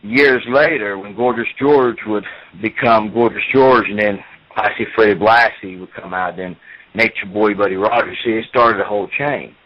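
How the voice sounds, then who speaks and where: Man in his forties, lowish voice, talkative, repeats himself, muffled lo-fi audio. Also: normal speed. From United States. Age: 40s. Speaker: professional wrestler. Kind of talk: interview.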